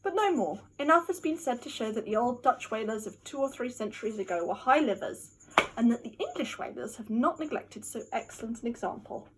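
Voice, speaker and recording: A woman giving a theatrical, mock-scholarly dramatic reading of a classic novel, with emphatic delivery and a husky voice. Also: on the high side, lively intonation, a little fast, slight background noise, rough recording. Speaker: amateur reader.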